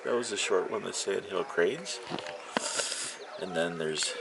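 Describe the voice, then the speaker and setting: A man giving casual, rambling, unhurried vlog commentary, talking to himself and his viewers as an amateur hobbyist. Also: low-pitched, vocal fry, a crowd in the background. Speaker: amateur vlogger and ham radio hobbyist.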